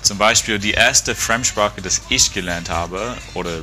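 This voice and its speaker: A man's voice: lowish voice, a little slow, over music. A man from Australia with an Australian accent, a native English speaker, chatting casually and searching for his words. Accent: Australian-accented German. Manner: hesitant, searching for words in imperfect German. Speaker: conversation participant. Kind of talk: casual chat.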